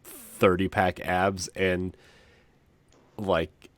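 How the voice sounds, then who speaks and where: A man's voice: sits low, little pitch movement, extremely slow. A man co-hosting a podcast, reading aloud for comedy and chatting casually, chuckling through his words. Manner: chuckling, amused delivery. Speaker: podcast co-host.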